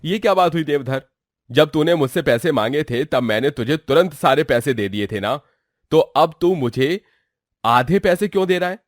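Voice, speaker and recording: A man's voice: a little high, lively intonation, moderate pace, dry recording, lightly acted. Man playing stern creditor friend, indignant, firm, moral-story acting, dry recording. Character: stern male friend demanding his loan back.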